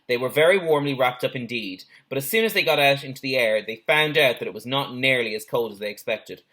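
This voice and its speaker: Male teacher for children's storytime, expressive narration with small asides, bright, forceful tone. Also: medium pitch, a little fast. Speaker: teacher reading a story to pupils. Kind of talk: animated children's storybook reading.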